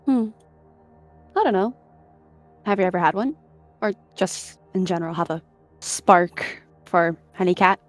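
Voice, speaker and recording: A woman's voice: lowish voice, a little slow, long pauses, natural voice. Woman playing a friendly, chatty female cat. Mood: curious. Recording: music behind the voice.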